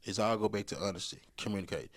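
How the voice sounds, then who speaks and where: A man's voice: lowish voice, fast. A man in his thirties co-hosting a podcast, giving relationship advice in a rambling, colloquial way, often repeating himself and laughing. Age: 30s. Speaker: podcast co-host. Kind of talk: casual relationship advice talk.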